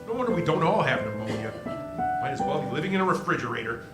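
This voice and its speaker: A man's voice: medium pitch, big pitch swings, quick pace, natural voice. A man playing a desperate, hot-tempered family man who stammers with emotion in a live radio play. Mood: bitter. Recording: roomy live stage, music behind.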